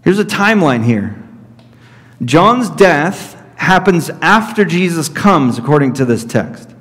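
A man in his thirties preaches from a church pulpit, taking each point slowly and going back over it. His voice sits a touch high for a man, and the sound rings with the long reverb of a church sanctuary. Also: sing-song, fairly bright tone. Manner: slow, deliberate teaching with repeated points. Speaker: pastor. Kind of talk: expository sermon. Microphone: fixed camera at middle distance.

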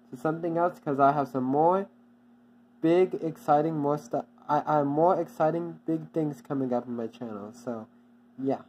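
A channel owner giving a casual, off-the-cuff update, halting and repeating itself often with many restarts. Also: mid-range voice, dark tone, slight background noise, poor audio.

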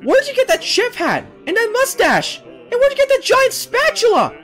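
A woman acting out excited dialogue for a game skit. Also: very high-pitched, normal speed, faint music behind.

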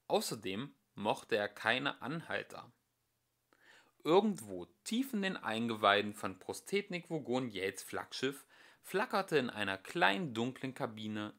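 A young man narrates a comic novel at home, his voice sitting at a middle pitch. He swings it widely and expressively, landing the dry jokes with plenty of melody. It sounds like a homemade audiobook read for family. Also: fairly bright tone. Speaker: audiobook reader. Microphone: home recording.

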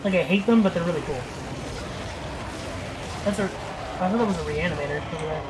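Male let's play co-host, high voice, jokey and sweary casual chatter, faint game noise. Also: brisk. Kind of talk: casual video game commentary. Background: horror game sound.

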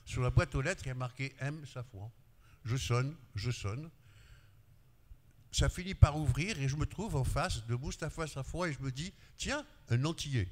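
An older man whose first language is Arabic tells a personal memory at a conference panel, his voice moving up and down as he goes. He holds a handheld mic, and the sound carries a long hall reverb. His pitch sits in a middle range for a man. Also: animated, moderate pace. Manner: storytelling with shifts of pitch and loudness, gesturing. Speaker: speaker paying tribute, pediatrician. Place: large glass-walled conference hall.

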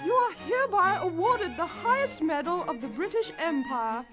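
High, fluting British queen. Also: expressive, normal speed, over music. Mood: ceremonious.

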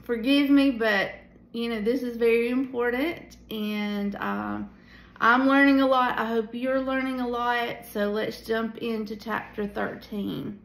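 A tired woman in her forties leading a casual Bible study from a small room at home, talking into a phone held at arm's length, with many pauses and fillers. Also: fairly high pitch, gravelly, roomy sound, rough recording. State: tired at the end of the day. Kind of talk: casual devotional teaching. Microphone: phone camera at arm's length.

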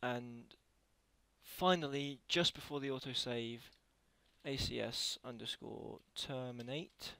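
A man narrates a casual screen-recorded tutorial in a slightly low voice with noticeable creak. He speaks haltingly and corrects himself as he works. The recording is dry and close. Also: fairly even intonation, bright, forceful tone, dry recording. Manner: halting, self-correcting while typing. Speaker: tutorial creator.

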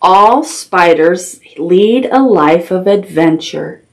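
Middle aged lady doing toddler storytime, reads slow n sing-songy w lots of up and down, asks little questions. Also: fairly low pitch. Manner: simple, expressive, questioning talk aimed at toddlers. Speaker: children's library storytime host. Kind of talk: read-aloud picture-book storytelling with animated asides. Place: small decorated indoor room with puppets.

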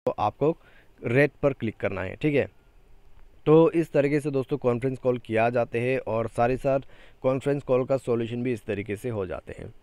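A man's voice: medium pitch, fairly quick, darkish tone, no room sound. Man narrating a phone tutorial, dry sound. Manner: repeatedly tags sentences with a check-in phrase. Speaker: tech tutorial YouTuber.

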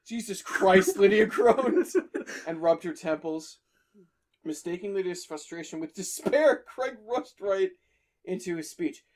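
A man's voice: high-pitched, expressive, unhurried, darkish tone. A man reading a horror story aloud on a podcast, stopping for jokey asides to his friends. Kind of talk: casual story reading with banter.

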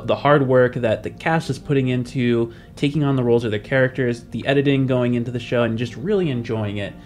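A man's voice: medium pitch, darkish tone. A man hosting a podcast, chatting casually to listeners and his co-host. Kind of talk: casual chat.

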